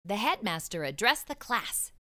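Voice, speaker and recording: Bright, animated woman reading a storybook. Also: medium pitch, normal speed. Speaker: storybook read-aloud narrator. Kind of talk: expressive children's story reading.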